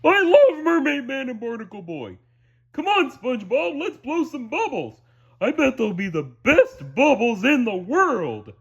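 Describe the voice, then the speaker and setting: A man voicing a dim, eager, good-natured cartoon starfish, loud and simple-minded, with a husky voice. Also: very high voice, sing-song, measured pace, highs cut off, pitched above the performer's own voice, exaggerated voice. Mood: excited.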